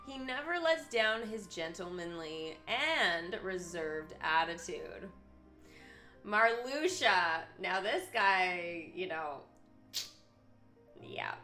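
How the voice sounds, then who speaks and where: Bright-voiced, chatty woman streamer over music. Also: mid-range voice. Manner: chatty, excitable, breaking into asides to viewers. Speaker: live streamer. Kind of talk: reading aloud character profiles with casual commentary.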